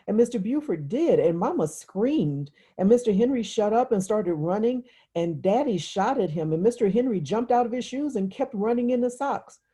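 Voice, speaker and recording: A woman's voice: mid-range voice, expressive, moderate pace, few pauses, mellow, slightly rough, pitched above the performer's own voice. A woman reading the part of an upset, exasperated young girl who confides in her sister with plain, childlike telling, in a novel. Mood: agitated recounting. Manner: reluctant, plain childlike recounting. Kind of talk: literary audiobook dialogue.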